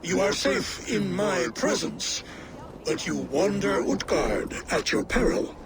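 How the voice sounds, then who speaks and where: An actor playing a formal, guarded male giant ruler in a video game drama, speaking deliberately and courteously with an edge of warning. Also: high voice, sing-song, gravelly, background noise, heavily acted. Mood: grave warning.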